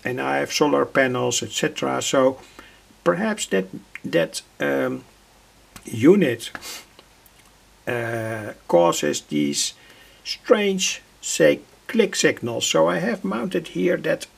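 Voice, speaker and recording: An older man with a husky, gravelly voice, giving an unscripted workbench demonstration in halting, hesitant phrases. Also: fairly high pitch, expressive. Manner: halting, hesitant phrasing with repeated filler words. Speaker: radio hobbyist presenter. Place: indoor home electronics workbench.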